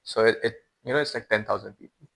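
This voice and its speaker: A man's voice: lowish voice, steady tone, fast talker, creaky, fairly bright tone. A man in his early twenties with an Indian accent, chatting casually as a podcast guest in informal, slangy speech. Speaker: podcast guest, former exchange student.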